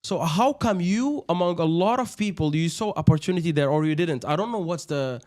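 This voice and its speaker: Eager higher-voiced man hosting a podcast. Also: expressive, a little fast, darkish tone. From Algeria. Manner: eager questioning with frequent fillers and restarts. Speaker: podcast host. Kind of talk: conversational interview.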